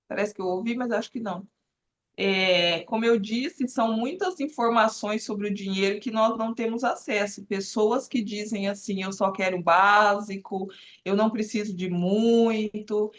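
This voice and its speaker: A woman's voice: medium pitch, moderate pace. A woman in her forties talking on a live video call. She explains earnestly, with an ordinary mid-range voice and lots of small fillers. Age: 40s. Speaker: therapist guest. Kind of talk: casual live-stream talk.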